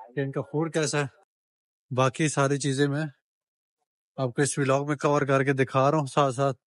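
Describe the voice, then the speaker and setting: A man's voice: fairly high pitch, a bit bright. A man giving a chatty walking-tour vlog of a street market, talking straight to viewers and bargaining with shopkeepers as he films. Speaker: travel vlogger.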